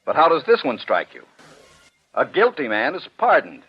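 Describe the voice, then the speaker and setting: An actor playing a stern, skeptical commissioner in a 1940s radio drama, sarcastic and high-handed, full of pointed questions. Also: male voice, huge pitch swings, moderate pace, slightly rough. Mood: firm, sardonic. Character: stern, skeptical male commissioner, unyielding defender of jury verdicts. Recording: muffled vintage 1940s radio broadcast.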